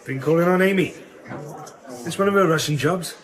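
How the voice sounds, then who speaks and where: A man playing a wary, mockingly chummy underworld crook in a radio drama, insinuating, with drawn-out hesitations. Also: fairly high pitch, lightly acted. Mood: sly, teasing. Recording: chattering crowd behind the voice.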